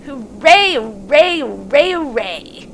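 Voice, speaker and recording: Woman playing a kindly creaky-voiced male rat. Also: sits high, very animated, slow pace, fairly bright tone, voice pushed up, exaggerated voice. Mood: delighted. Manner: kindly, practical. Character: practical, kindly male water rat, brisk friend. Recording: clearly noisy, sound effects behind.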